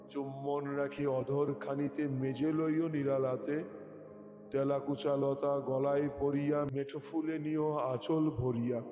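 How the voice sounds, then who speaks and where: A man reciting a poem expressively, as a poetry reciter does, over music. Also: fairly high pitch. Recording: dull, echoey with long reverb.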